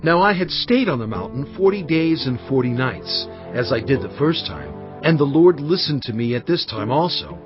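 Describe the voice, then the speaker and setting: A solemn prophet played by a male actor exhorts his people in a scripture drama. He speaks in a mid-pitched voice with earnest, measured oratory and weighty pauses. The sound is slightly dull, with faint music underneath. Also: animated, lightly acted. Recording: dull studio track over faint music.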